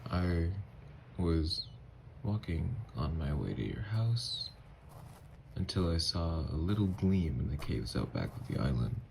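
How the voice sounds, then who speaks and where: A man playing a gentle, haunted, newly-turned vampire lover in an ASMR audio drama, speaking in hushed, halting, hesitant phrases. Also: sits low, vocal fry, noisy background, heavily acted. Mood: earnest, haunted.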